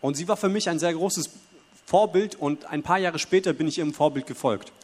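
Brisk male church interpreter in reverberant hall. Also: on the high side. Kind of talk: consecutive interpreting into German. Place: church hall before a congregation.

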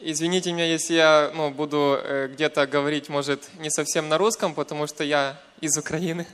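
A young man from western Ukraine with a Ukrainian accent giving a personal testimony in church, in short hesitant segments with pauses. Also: a little high, fairly even intonation, fairly bright tone, clear, resonant tone. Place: church, speaking to a congregation.

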